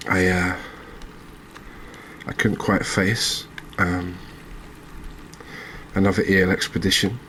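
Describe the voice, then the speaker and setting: A man hosting a gaming channel with soft-spoken ASMR commentary, talking in a gentle, unhurried, halting way. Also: deep voice, little pitch movement, a little hiss or hum, rough recording.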